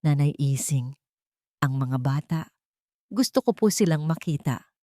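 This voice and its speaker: A woman with a Filipino accent voicing a frail, selfless young woman in an audiobook drama, halting, polite and worried. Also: sits low, expressive, warm tone, dry recording, clean studio sound. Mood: weakly longing.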